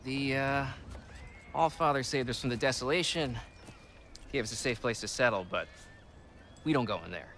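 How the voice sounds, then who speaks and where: A man's voice: mid-range voice, moderate pace, pauses often, a little hiss or hum, close to an everyday voice. A man voicing a chatty, nosy male refugee in a video game cutscene, with music behind. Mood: excitable. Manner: chatty, nosy, swings between excitement and doubt. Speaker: game character.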